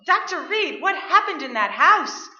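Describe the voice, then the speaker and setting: A woman's voice: extremely high pitch, sing-song, measured pace, bright, forceful tone, full character voice. A woman playing a concerned, questioning visitor in 1940s suspense radio style. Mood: worried. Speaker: stage radio actor. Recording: echoey, muffled, distant stage mic.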